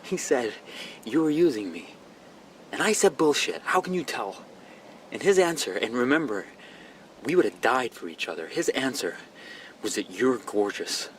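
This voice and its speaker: A man acting as an intense young student, hunted and grieving. He speaks haltingly, tripping over repeated words, in radio drama style, with some background noise. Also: mid-range voice, very animated, lightly acted. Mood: hurt, accusing. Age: young adult. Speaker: actor.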